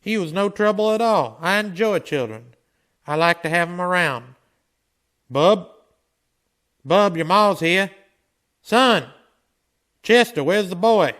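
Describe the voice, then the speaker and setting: An actor playing a steady frontier town marshal, commanding but gentle, in a Western radio drama. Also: male voice, sits high, takes it slowly, long pauses, full character voice. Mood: steady, reassuring.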